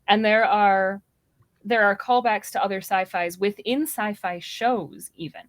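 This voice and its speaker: Female podcast panelist, medium pitch, informal conversational delivery. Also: measured pace, dry recording. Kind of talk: casual, animated discussion.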